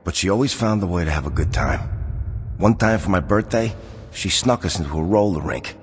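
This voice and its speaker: Low-voiced brooding New York mobster. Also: male voice, a little fast, husky, long reverb tail. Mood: wistful, reflective. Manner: terse, streetwise, grim. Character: brooding tough male mob boss, haunted by lost love. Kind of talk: video game dialogue and monologue.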